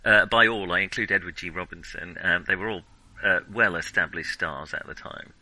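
Male podcast co-host, bright and forward, hesitant and chatty, dry close mic. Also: deep voice, moderate pace, dry recording, low-quality recording. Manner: chatty, hesitant with frequent ums, laughs easily. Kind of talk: casual film discussion.

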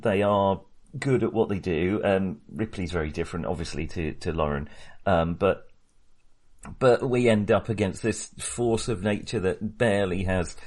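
A man's voice: sits low, a little mellow. A middle-aged man co-hosting a casual film discussion, talking in a wry, hesitant, self-correcting way. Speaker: film podcast co-host.

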